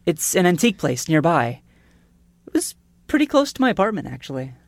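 A woman, a podcast producer, telling a scripted comic story in a halting, stammering, insistent way. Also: fairly low pitch, big pitch swings, gravelly, no room sound.

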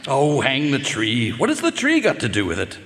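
A man playing a pompous, fiercely protective doctor and father in a live radio mystery, loud and blustering. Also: medium pitch, wildly swooping pitch, normal speed. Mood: indignant. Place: live performance hall. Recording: reverberant stage in a large hall.

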